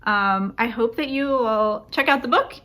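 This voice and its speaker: A woman's voice: fairly high pitch, a little slow, fairly bright tone. A woman author chatting casually about her book, with many ums and restarts. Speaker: young adult author. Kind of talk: casual self-recorded video.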